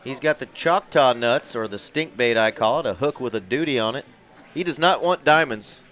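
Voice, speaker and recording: A man's voice: mid-range voice, moderate pace, fairly bright tone. A man calling a live poker final table from a commentary booth, with folksy, dry jokes. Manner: folksy, dry joking play-by-play.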